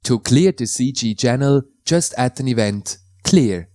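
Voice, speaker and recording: A man from Switzerland, a native Swiss German speaker with a Swiss German accent, calmly explaining how to install and use software in a tutorial voice-over, with slightly non-native phrasing. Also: mid-range voice, unhurried, dry recording, studio quality. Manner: careful, plain instructional delivery in slightly non-native English.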